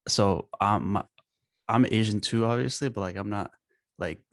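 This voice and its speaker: A young man from Bangladesh, a podcast guest talking in a halting way with many fillers. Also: on the low side, fairly bright tone, no echo, studio quality. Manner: halting, filler-heavy, says like a lot. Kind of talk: casual podcast chat.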